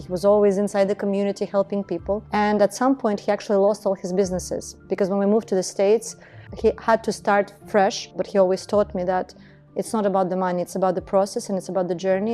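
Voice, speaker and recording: Woman in her 40s telling her founder story, earnest and steady, warm mellow voice, quiet music under it. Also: mid-range voice. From Estonia. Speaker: entrepreneur, beauty business founder. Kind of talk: documentary interview.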